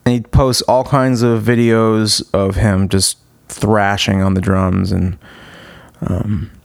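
A man's voice: fairly low pitch, little pitch movement, takes it slowly, creaky, dry recording, clean studio sound. A man in his thirties hosting a podcast, talking casually and enthusiastically with lots of fillers and restarts. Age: 30s. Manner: rambling, filler-heavy, enthusiastic. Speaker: podcast host. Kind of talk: casual podcast intro and chat.

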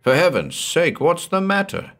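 A man's voice: on the low side, animated, normal speed, fairly bright tone, pitched above the performer's own voice. A man voicing an alarmed male companion who speaks urgently. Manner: urgent, exclamatory.

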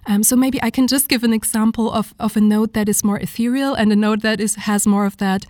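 A woman with a fairly high, breathy and mellow voice answers questions at an easy pace. Her first language is German, and she thinks aloud with fillers and restarts. The sound is close and dry. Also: fairly even intonation, a little fast, dry recording. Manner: thoughtful, many fillers and restarts. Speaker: guest vocalist. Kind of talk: casual interview talk.

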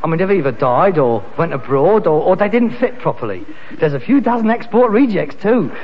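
Animated, scheming London rag-and-bone dealer. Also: male voice, a little high, a little fast. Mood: cajoling salesman. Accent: London working-class. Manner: emphatic, cajoling, slangy. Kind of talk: British sitcom acting. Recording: muffled, reverberant, hissy vintage sitcom recording.

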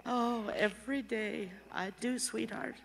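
A woman's voice: on the high side, fairly even intonation, measured pace, creaky, slight background noise. An actress playing a tender, wry, plain-spoken elderly grandmother who carries grief, in a radio play. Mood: tender, sad. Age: older. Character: tender elderly grandmother, wry, grieving, snappish with her husband. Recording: long hall-like reverb.

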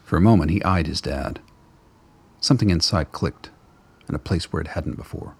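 Narrator for radio drama: man, very low, husky and gravelly, dramatic storytelling. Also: moderate pace.

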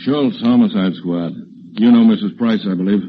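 Hard-nosed homicide cop male actor, brusque and lowish, 1940s radio style with dull, hissy sound. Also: normal speed, lightly acted. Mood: accusing. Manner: curt, accusing. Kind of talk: 1940s radio drama acting. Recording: muffled, hissy old radio broadcast.

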